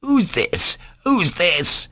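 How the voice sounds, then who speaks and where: A man's voice: medium pitch, very animated, moderate pace, a bit bright, husky, no room sound, pitched above the performer's own voice, heavily acted. A man voicing a pompous, easily angered doctor in a storybook, haughty and prone to stammer and repeat himself. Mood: indignant fury. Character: pompous, easily enraged male doctor, sputtering with indignation.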